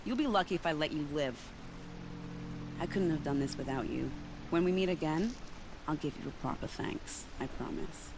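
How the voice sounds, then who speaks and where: An actress playing a bitter, menacing covert operative in a video game, haughty in manner. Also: female voice, deep voice, animated, normal speed. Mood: sly. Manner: haughty, threatening. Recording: noisy, distant game audio.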